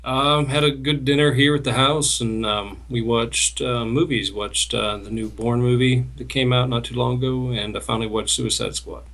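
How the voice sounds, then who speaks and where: A man's voice: medium pitch, steady tone, normal speed, hardly any pauses, fairly bright tone. A man in a casual podcast chat, speaking in a hesitant, understated way. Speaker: podcast co-host.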